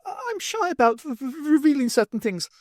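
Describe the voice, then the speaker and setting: A man voicing a timid, worrying rodent professor in an audiobook, squeaky and nervously defensive. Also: very animated, moderate pace, a little mellow, no room sound, studio quality. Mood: anxious, defensive.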